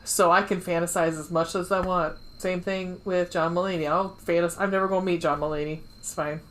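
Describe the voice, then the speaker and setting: A woman's voice: fairly low pitch, fairly even intonation, brisk. A woman co-hosting a book club podcast in casual chat, with a jokey, informal, sweary way of talking.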